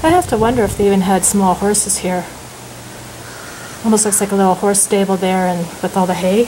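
A woman's voice: mid-range voice, a little fast, mellow. Woman exploring indoors, noisy handheld recording. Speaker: urban explorer and host. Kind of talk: casual running commentary while exploring. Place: inside rooms of an empty, abandoned house. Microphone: handheld camera microphone held by the speaker. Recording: handheld camera with clear background noise. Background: ambient noise.

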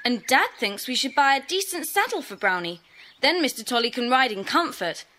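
A kindly schoolgirl in an audio drama. Her high, animated voice is earnest and bright. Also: fairly quick, slight background noise, heavily acted. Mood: earnest, pleased.

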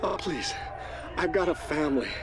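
An actor playing a timid, terrified male captive who pleads haltingly and stammers in a video game. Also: fairly high pitch, expressive, a crowd in the background, background noise, close to an everyday voice. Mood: fearful pleading. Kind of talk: video game dramatic acting.

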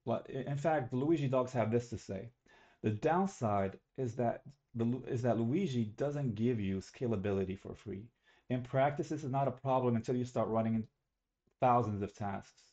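A man's voice: mid-range voice, normal speed, warm tone, lo-fi sound. Young man giving a casual class talk. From Algeria. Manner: casual, self-correcting, slightly rambling. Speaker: student presenter. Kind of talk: recorded class presentation.

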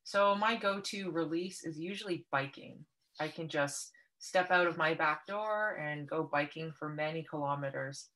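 Woman from Canada, lowish voice, patient craft instructor, video call. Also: moderate pace, bright, forceful tone, low-quality recording. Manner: friendly, patient step-by-step explaining. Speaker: art workshop instructor. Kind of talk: online craft workshop instruction.

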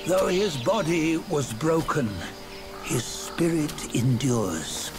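An actor playing a weary, reverent old storyteller in a video game, solemnly telling an ancient legend with dramatic pauses. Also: male voice, on the high side, animated. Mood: solemn, wistful. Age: older. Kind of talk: solemn scripted game narration. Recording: music behind the voice.

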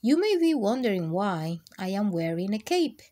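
A woman voicing an eager young boy superhero in training, exclaiming excitedly in a children's storybook read-aloud. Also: mid-range voice, normal speed.

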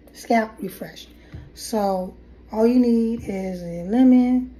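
A woman's voice: mid-range voice, background noise. A middle-aged woman with a head cold chatting through a casual hair tutorial at her kitchen table, rambling and restarting as she goes, recorded on a phone. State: has a head cold, coughing. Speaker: hair vlogger. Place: kitchen table at home, indoors. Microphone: phone camera at table.

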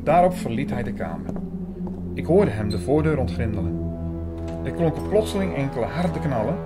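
Low-voiced man narrating a thriller over music. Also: very animated, normal speed. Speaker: narrator of an audio drama. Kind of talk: scripted first-person thriller narration.

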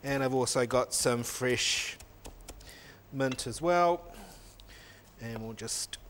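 A man's voice: mid-range voice, takes it slowly, a bit bright, slight background noise. A middle-aged man, a chef in a teaching kitchen, explaining each step of a recipe while he cooks, on a lapel mic. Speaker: cooking show chef. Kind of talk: cooking demonstration.

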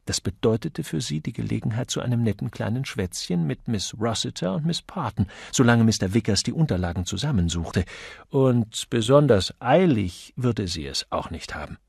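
A man's voice: mid-range voice, animated, moderate pace, gravelly, a little mellow, breathy edge, dry recording, studio quality. A man reading scripted audiobook narration.

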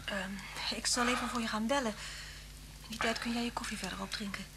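Actress as high-voiced, frightened, kind woman. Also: steady tone, fairly quick, lightly acted. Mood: uneasy, puzzled. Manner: hesitant, anxious, stammering slightly. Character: kind, frightened woman facing a wanted man. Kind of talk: Dutch radio play acting. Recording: hum and hiss of old radio recording.

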